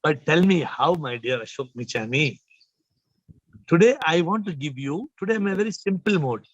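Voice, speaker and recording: High-voiced man teaching over a video call. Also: expressive, moderate pace, dry recording. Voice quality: slightly low, subdued voice today. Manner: emphatic, repeats phrases, questions listeners. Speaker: spiritual teacher hosting a call. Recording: muffled video call audio.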